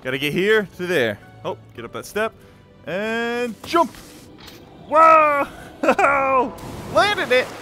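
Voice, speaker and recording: A man giving excited let's-play commentary in a playful, exclamatory way, breaking into goofy sound effects and sing-song. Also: extremely high pitch, takes it slowly. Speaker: gameplay video host. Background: video game music.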